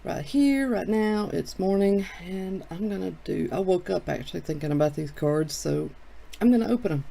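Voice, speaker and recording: A middle-aged man hosting a casual, relaxed chat, thinking aloud as he opens packs of cards. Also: high-pitched, moderate pace, creaky. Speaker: card shop host. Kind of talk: casual chat while opening card packs.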